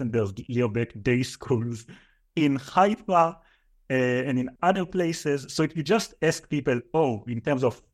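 A man's voice: mid-range voice, darkish tone. A man in his thirties with an Israeli accent, a guest scholar answering interview questions thoughtfully, with many fillers. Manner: thoughtful, listing at length with fillers.

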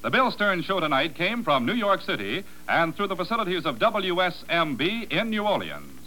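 A man's voice: high voice, very animated, moderate pace, sharp tone. An American man reading scripted announcements in the style of a 1940s network radio announcer. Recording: noisy, humming vintage radio recording.

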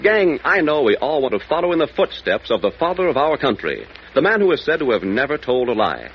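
A male old-time radio host speaking earnestly to youngsters, with emphatic, lively swings of pitch. The recording is muffled, with faint noise. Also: a little fast. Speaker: radio show host addressing young listeners. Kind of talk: scripted 1940s radio address.

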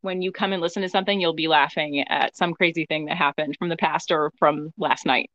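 A woman's voice: sits low, fairly even intonation, brisk, a bit bright, highs cut off. A woman co-hosting a casual podcast, teasing and interrupting with frequent exclamations. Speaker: podcast co-host, wedding singer. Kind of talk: casual chat.

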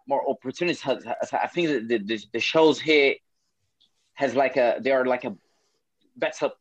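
A man's voice: mid-range voice, moderate pace, dry recording, rough recording. A young man from Brazil with a Brazilian Portuguese accent, speaking English as a second language in a casual interview, often repeating words and swearing casually. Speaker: MMA fighter.

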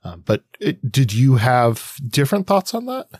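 A man's voice: mid-range voice, sing-song, normal speed, a little mellow, husky, no echo, clean studio sound. A man co-hosting a casual analytical discussion podcast, reflective and thinking aloud with many qualifiers. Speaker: podcast co-host.

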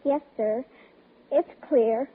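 A woman's voice: a little high, slow. An actress playing an earnest, insistent young girl in a 1950s radio drama, pleading with breathless urgency to be believed. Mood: impatient pleading. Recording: noisy, tinny 1950s radio recording.

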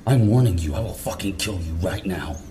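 Gruff, territorial corporal, played by a man: very low, dark timbre, commanding, audio drama with faint ambient noise. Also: lively intonation, fairly quick. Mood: threatening. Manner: commanding, profane, stern. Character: gruff, territorial male National Guard corporal.

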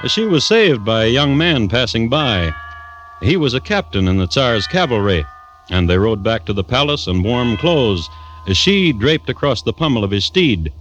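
An actor playing a wry, macabre crime-history host, narrating a 1950s radio drama deliberately and deadpan, with dramatic pauses. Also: male voice, mid-range voice. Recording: dull, dry vintage radio recording.

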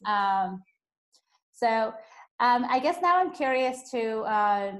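A woman's voice: fairly high pitch, animated, slow, a bit bright, poor audio. A young woman who grew up speaking Spanish, hosting a casual podcast conversation in a chatty way with lots of fillers. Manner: chatty, uses many fillers. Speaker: podcast host.

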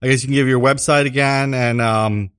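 A man's voice: mid-range voice, fairly even intonation, a little fast, fairly bright tone, smooth, no echo. Man, radio host, studio, dry sound, casual rambling delivery. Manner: loose, rambling, with fillers and restarts. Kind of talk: community radio interview and station announcements.

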